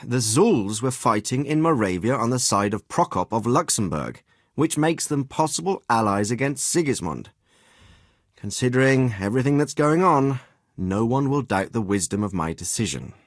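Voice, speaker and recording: An actor playing a middle-aged noble lord and commander in a video game, with a measured, formal and paternal manner. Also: male voice, medium pitch, big pitch swings, dry recording, clean studio sound. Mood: grave, deliberating. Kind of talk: acted video game dialogue.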